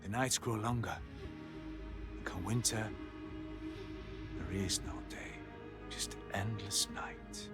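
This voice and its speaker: An actor playing a grave, knowing older Norse guide in a video game, speaking in a solemn, measured way. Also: male voice, lowish voice, loud music behind the voice. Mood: solemn, ominous. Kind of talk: dramatic video game acting.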